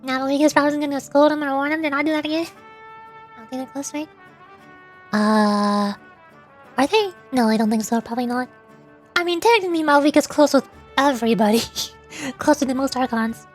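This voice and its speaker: High-voiced woman streaming a game. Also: a little fast, pauses often, soft background music. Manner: rambling, playful. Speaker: streamer and amateur voice actor. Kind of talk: casual game livestream commentary.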